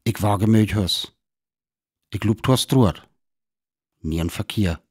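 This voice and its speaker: An actor with a North Frisian accent playing a weary lone survivor of an invasion in a radio play, telling it in sparse, dramatic phrases. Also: male voice, fairly low pitch, takes it slowly, a little mellow, breathy edge, no room sound, studio quality. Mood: weary, wary. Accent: North Frisian (Föhr).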